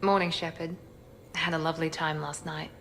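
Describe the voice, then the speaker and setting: An actress playing a serene, courteous warrior in a video game, with measured, polite delivery. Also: female voice, deep voice, steady tone, vocal fry, a bit bright, a little hiss or hum. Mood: serene, amused. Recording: reverberant hall, faint ambience.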